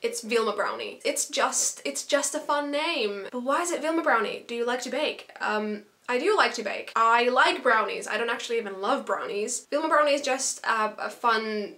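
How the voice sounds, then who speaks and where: A woman's voice: fairly high pitch, pushed and bright. Bubbly young Finnish woman vlogging. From Finland. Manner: chatty and earnest, with little laughs. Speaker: YouTuber. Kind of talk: casual talk to camera. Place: small bedroom, indoors. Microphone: camera in front at close range.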